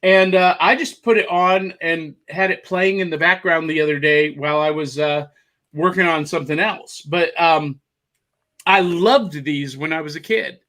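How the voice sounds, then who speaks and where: A man's voice: a little high, normal speed, sharp tone. A man co-hosting a casual chat over a video call, talking eagerly and rambling about things he found. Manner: enthusiastic, rambling, showing off finds. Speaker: co-host.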